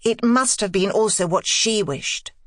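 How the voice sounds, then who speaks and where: A woman's voice: mid-range voice, unhurried, natural voice. A woman voicing an intense, determined young governess in an audiobook, speaking in emphatic, broken phrases with urgent insistence. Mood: grave. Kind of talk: audiobook character dialogue.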